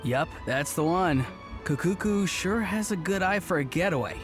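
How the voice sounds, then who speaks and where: A man's voice: high-pitched, animated, normal speed, over music. A man voicing an earnest, upbeat and cheeky young friend in a video game. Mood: breezy.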